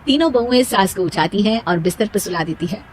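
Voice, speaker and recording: A woman's voice: mid-range voice, expressive, a little fast, background noise. A woman narrating a scripted moral story as storyteller.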